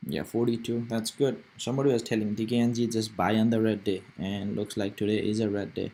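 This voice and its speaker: A man's voice: on the low side, little pitch movement, a little fast, warm tone. A young man from Nepal, giving casual live commentary on stock charts and rambling aloud with many fillers and restarts. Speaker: stock trading streamer.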